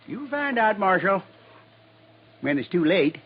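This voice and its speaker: An actor playing a proud, bitter old American plainsman with a raspy voice and folksy phrasing, in a 1950s radio Western. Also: sits high, very animated, a little slow, very noisy. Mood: defiant, menacing. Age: older. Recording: dull lo-fi 1950s radio recording.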